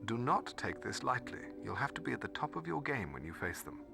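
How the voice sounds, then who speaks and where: An actor playing a scholarly, stern middle-aged mentor in a video game, speaking formally and precisely. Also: male voice, medium pitch, big pitch swings, brisk, creaky. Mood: stern warning. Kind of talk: video game cutscene exposition. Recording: music behind the voice.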